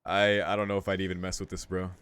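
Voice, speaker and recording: A man's voice: sits low, flat delivery, fast, fairly bright tone, slight background noise. A man hosting a game playthrough, talking in a slangy, jokey way and reading the game's text aloud. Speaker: gaming video host. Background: game music.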